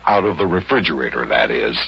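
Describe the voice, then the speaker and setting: A man with an American accent playing a patient, dryly amused grown-up who gently scolds a boy in a children's radio serial. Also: rumbling low, sing-song, quick pace, creaky, husky, heavily acted. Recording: hissy, dull old radio recording.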